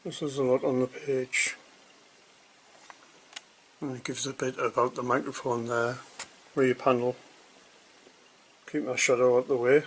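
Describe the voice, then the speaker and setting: A man giving casual, hesitant unboxing commentary in short pieces with long pauses. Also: medium pitch, little pitch movement, slightly rough, rough recording. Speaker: hobbyist product reviewer.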